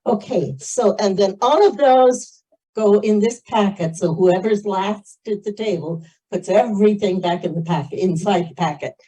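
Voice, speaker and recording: A woman's voice: mid-range voice, normal speed, mellow, breathy edge, poor audio. A woman giving informal instructions and announcements to a community meeting.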